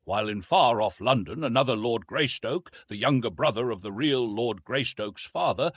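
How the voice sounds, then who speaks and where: A man narrates a classic adventure story with lively, swooping intonation. His voice sits at a medium pitch and has a husky grain. The delivery is measured and dramatic, recorded close and dry. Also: no echo. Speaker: audiobook narrator.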